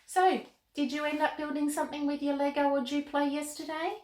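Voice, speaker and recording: A woman hosting a children's storytime and reading aloud, expressive and speaking directly to young listeners. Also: high voice, a little fast. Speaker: children's show host and author reading her book. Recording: roomy, echoing indoor sound.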